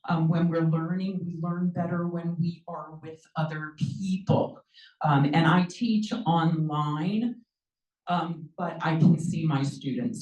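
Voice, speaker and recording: A woman's voice: sits low, a little mellow, far from the mic. A middle-aged woman presenting a lecture on learning, chatting along with many ums and acting out little dialogues as a language teacher. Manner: conversational, many ums, acts out quoted dialogue. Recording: echoey room, mic from afar.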